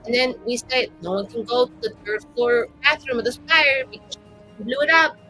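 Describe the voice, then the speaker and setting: Woman playing a bright, high-voiced chatty adventurer. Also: a little fast, faint music behind, rough recording. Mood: gossipy. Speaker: tabletop roleplay player. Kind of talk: improvised in-character banter.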